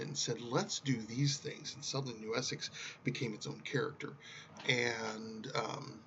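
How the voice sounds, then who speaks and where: A man's voice: medium pitch, gravelly, a bit bright. A middle-aged man talking casually and unscripted as a writer in a vlog, rambling reflectively and often stopping mid-thought. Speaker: writer talking about his projects. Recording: dull lo-fi sound, faint background noise.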